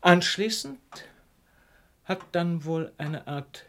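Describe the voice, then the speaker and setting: An actor playing a brilliant detective in a German radio play, precise and didactic, with ironic, condescending politeness. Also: male voice, sits high, slow pace, fairly bright tone, full character voice. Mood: emphatic, lecturing. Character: brilliant male detective, superior, calmly lecturing, ironic.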